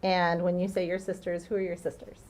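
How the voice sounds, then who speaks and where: Gently questioning woman lawyer. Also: lowish voice, completely flat delivery, a little fast. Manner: patient, gentle questioning with fillers. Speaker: prosecutor. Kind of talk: courtroom questioning. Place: courtroom.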